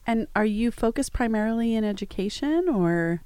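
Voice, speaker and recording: A woman's voice: fairly high pitch, animated, normal speed, warm tone, clean tone, dry recording. A woman hosting a studio interview, who welcomes her guests and prompts them with friendly questions. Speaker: interview host.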